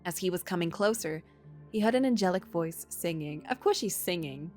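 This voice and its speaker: A woman's voice: on the low side, expressive, normal speed, a little mellow. Woman reading a story over music. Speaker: livestreamer reading a visual novel aloud. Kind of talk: story narration mixed with casual stream commentary.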